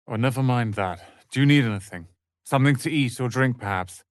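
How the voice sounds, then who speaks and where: A man's voice: medium pitch, fairly quick, dry recording, lightly acted. A man playing a haughty young wizard, close and dry. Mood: polite, self-important. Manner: haughty, theatrical, sharp-tongued. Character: proud, prickly young male wizard's apprentice. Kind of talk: fantasy video game voice acting.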